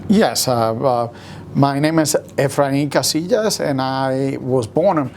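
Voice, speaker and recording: A man from Rico, a musician telling his story in an interview with many pauses and fillers. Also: medium pitch, a little mellow, a little hiss or hum. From Puerto Rico. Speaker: guest musician.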